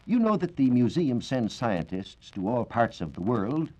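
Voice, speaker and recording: An American man giving a formal, measured, scripted science talk as a reporter on 1940s radio. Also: mid-range voice, big pitch swings, a little mellow. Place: radio studio. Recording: dull, band-limited 1940s radio recording.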